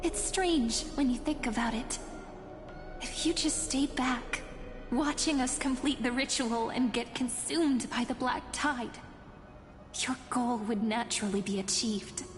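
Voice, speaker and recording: An actress playing a calm, defiant young heroine in a video game, reasoning firmly against a foe. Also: female voice, a little high, unhurried, close to an everyday voice. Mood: calm, resolute. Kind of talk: video game story voice acting. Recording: reverberant game audio under music.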